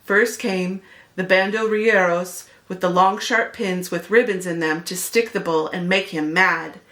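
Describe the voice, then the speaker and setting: A woman's voice: fairly low pitch, fairly even intonation, measured pace, a bit bright. A woman narrating a children's picture book expressively, as a read-aloud host speaking to young listeners.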